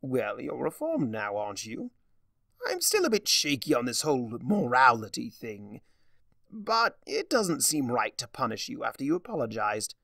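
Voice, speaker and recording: A man plays a theatrical villain of chaos from a fan fiction story. The voice swoops widely and creaks at the edges, drawling with smug, teasing mischief. The recording is close and dry. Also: mid-range voice, huge pitch swings, normal speed, sharp tone, dry recording, far from the mic, exaggerated voice. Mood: breezy musing. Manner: drawling, grandly teasing, self-amused. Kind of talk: dramatic fan fiction reading.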